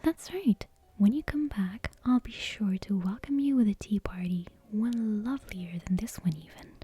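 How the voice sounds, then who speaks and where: A woman's voice: medium pitch, normal speed, warm tone, husky, dry recording. A woman voicing a breezy, brazen witch teacher from a storybook in an airy, blithely reassuring whisper.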